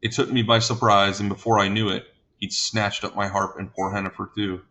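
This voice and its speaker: A man playing a fussy, gentle giant giving a flustered, wordy statement to a police officer in a comic monologue. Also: on the low side, little pitch movement, fairly quick.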